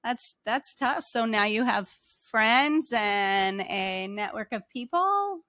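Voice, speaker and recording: A woman's voice: on the high side, animated, slow, pushed and bright, dry recording, studio quality. A woman hosting a podcast interview, enthusiastic and affirming, from the United States. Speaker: podcast host, restaurant business coach.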